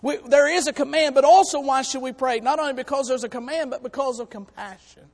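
A hoarse man on church staff preaching a sermon to his congregation, in a folksy way that calls out to them. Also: extremely high pitch, fast talker, in a large hall. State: hoarse, has lost part of his voice. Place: church sanctuary.

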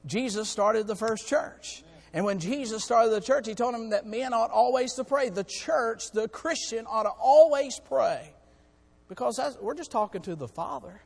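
A hoarse man on church staff preaching a sermon to his congregation, in a folksy way that calls out to them. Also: extremely high pitch, normal speed, a little mellow, long reverb tail. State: hoarse, has lost part of his voice. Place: church sanctuary.

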